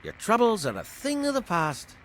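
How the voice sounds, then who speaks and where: Swooping, sly saloon boss. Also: male voice, sits high, wildly swooping pitch, moderate pace, slight background noise. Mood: grandiose welcome. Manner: smooth-talking, theatrical, condescending. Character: sly, boastful saloon owner, grandiose villain. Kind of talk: video game character dialogue.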